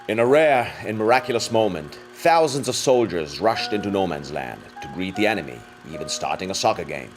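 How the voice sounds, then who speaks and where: A man's voice: low-pitched, sing-song, fairly quick, hardly any pauses, soft background music. A man reading scripted, dramatic documentary narration as a narrator.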